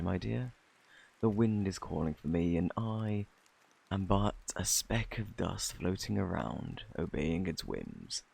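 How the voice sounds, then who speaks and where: A deep-voiced British fortune teller at a fantasy inn, acted by a man, speaks with a gravelly edge. He is flirty and a little peculiar, with courtly, teasing turns of phrase in an ASMR roleplay style. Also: darkish tone, exaggerated voice, rough recording.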